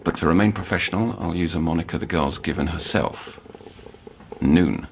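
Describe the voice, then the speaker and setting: Deep, gravelly male counsellor. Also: moderate pace, a little hiss or hum. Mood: formal, wry. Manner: formal, articulate, theatrical. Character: intense male counsellor at a children's psychiatric institute. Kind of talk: audio drama, dictated case notes and dialogue.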